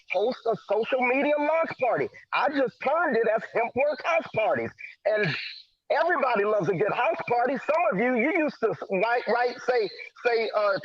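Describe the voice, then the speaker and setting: Male motivational speaker, very high voice, stutters, emphatic preacher-like encouragement, muffled online-call sound. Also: dry recording. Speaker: motivational guest speaker at a sales team event. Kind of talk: motivational sales talk. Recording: dull lo-fi online call audio.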